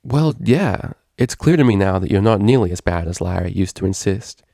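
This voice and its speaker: A man's voice: deep voice, lively intonation, moderate pace, gravelly, mellow, no room sound, studio quality. A man voicing a friendly, earnest young female pony student in a story, eager and confiding. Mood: apologetic.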